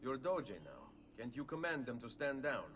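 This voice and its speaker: A composed young assassin, played by an actor, speaking calmly and courteously. His voice sits in an ordinary middle pitch. Steady game ambience runs beneath him. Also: lightly acted. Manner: calm, measured, courteous. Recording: game audio with ambient noise underneath.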